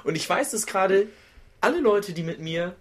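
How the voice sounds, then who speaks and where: A man co-hosting a film podcast, giving animated, opinionated and rambling takes in casual conversation. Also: high-pitched, moderate pace. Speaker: podcast host.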